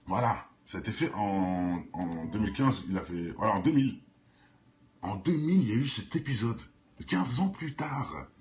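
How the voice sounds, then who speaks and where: A man giving casual, improvised commentary in informal, slangy speech, addressing viewers directly and repeating phrases insistently for emphasis. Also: medium pitch. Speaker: online video commentator. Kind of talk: casual improvised commentary on cartoons and conspiracy theories. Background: music.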